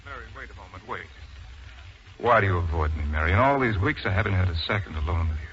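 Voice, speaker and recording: An actor in a 1940s radio drama playing an earnest, wounded husband with an intense, theatrical delivery. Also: male voice, deep voice, animated, fast, noisy background. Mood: pleading. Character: earnest, wounded husband, son of mill owner. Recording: muffled 1940s radio recording.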